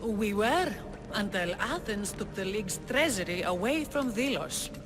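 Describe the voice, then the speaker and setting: An actress playing a proud, weary rebel leader of ancient Greece in a video game, speaking in a wary, commanding, theatrical way. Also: female voice, medium pitch, lively intonation, a little slow, natural voice. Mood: bitter. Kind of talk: dramatic video game cutscene acting. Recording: reverberant hall, music behind.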